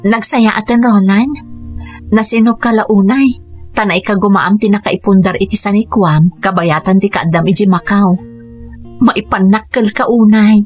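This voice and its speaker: An actress playing a soft-spoken, loving mother in a radio drama, with music faintly under her lines. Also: mid-range voice, normal speed, breathy edge, natural voice. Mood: content. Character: Marites, a gentle loving mother.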